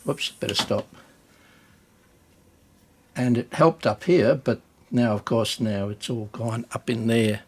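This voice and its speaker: A man giving a casual, unscripted hands-on demonstration as a hobbyist video host, hesitant and halting as he thinks aloud. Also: mid-range voice, husky.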